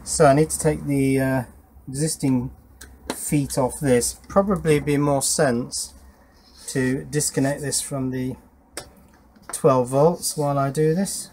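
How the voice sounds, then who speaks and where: Middle-aged man, medium pitch, casual workbench narration, informal, thinking aloud. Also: fairly even intonation, slow, a little mellow, a little hiss or hum. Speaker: electronics hobbyist presenting his workshop project. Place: small wooden garden shed workshop.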